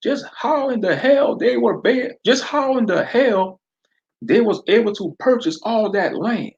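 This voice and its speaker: A man's voice: very high-pitched, lively intonation, unhurried, gravelly, dull audio, pitched above the performer's own voice, exaggerated voice. A man voicing a bullying small-town sheriff with a Southern drawl, sneering and menacing. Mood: threatening.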